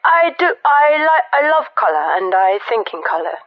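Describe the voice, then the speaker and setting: A woman's voice: a little high, very animated, moderate pace, dry recording. An actress playing an earnest, slightly dotty arty woman, hesitant and vague as she explains herself on a spoof phone-in. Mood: earnest musing. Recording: dull, dry telephone line.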